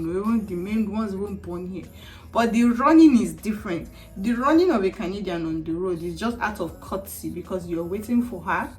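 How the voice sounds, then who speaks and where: A chatty, animated Nigerian woman in her thirties talks casually to her viewers, laughing often and slipping into Pidgin turns of phrase. Her voice sits at a middling pitch. She sounds a little way off the microphone, with faint music underneath. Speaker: vlogger. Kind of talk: casual chat to camera. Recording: heard from a distance, with faint music.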